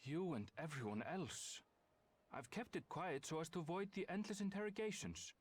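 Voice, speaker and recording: A man's voice: fairly high pitch, animated, moderate pace, husky. A man voicing a proud, aloof companion in a video game, with a formal, dry, disdainful manner. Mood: disdainful, curt. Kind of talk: video game cutscene acting.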